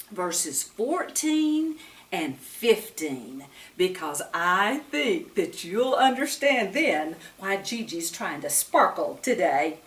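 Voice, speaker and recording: Animated, slow-paced woman telling children stories. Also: mid-range voice. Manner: animated, sing-song, addressing children with questions. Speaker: children's storyteller and Bible teacher. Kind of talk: read-aloud storytime talk to young children. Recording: roomy echo, distant microphone.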